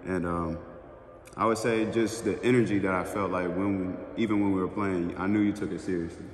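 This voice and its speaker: A man's voice: low-pitched, fairly even intonation, fairly quick, slight background noise. A man hosting a casual interview, talking in an earnest, encouraging way. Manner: earnest, encouraging, many affirmations. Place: Atlanta area. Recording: echoey with long reverb.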